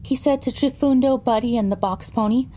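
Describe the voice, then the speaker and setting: A woman's voice: fairly high pitch, a little fast, warm tone, natural voice. A woman narrating a first-person story for an audio drama, reading descriptively with dramatic phrasing.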